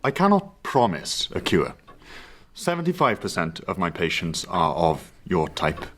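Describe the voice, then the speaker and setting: An actor playing a composed, authoritative hypnotist doctor in a period radio drama. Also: male voice, low voice, sing-song, unhurried, a little hiss or hum. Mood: composed, clinical.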